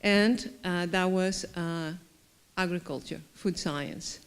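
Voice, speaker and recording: Smooth-voiced woman with Chilean accent. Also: on the low side, takes it slowly. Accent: Chilean Spanish. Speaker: panelist. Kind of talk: panel self-introduction.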